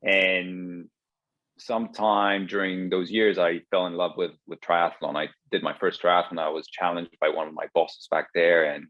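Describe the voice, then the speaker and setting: A very low-voiced man in his thirties from Greece talks through a guest interview, stopping and restarting his sentences often. The video call audio sounds dull and dry, with no room around it. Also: little pitch movement, moderate pace, a bit bright, dry recording. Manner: hesitant, many restarts and fillers. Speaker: guest, startup co-founder. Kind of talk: remote interview conversation.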